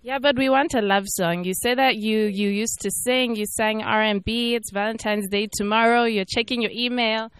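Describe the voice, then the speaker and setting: A woman's voice: on the high side, fairly even intonation, moderate pace, hardly any pauses, fairly bright tone, clear, resonant tone, rough recording. Upbeat woman interviewer. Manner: upbeat, encouraging questions. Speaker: interview host. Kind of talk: casual interview hosting.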